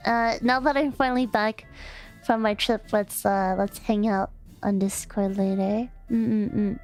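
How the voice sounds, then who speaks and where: A young woman casually chatting on a livestream, giggly and rambling, with lots of fillers and restarts, playful and affectionate toward her chat. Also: on the high side. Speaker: streamer. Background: faint background music.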